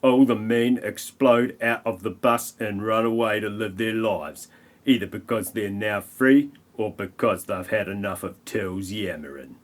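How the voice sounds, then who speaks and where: Low-voiced man narrating a comic audio play. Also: unhurried.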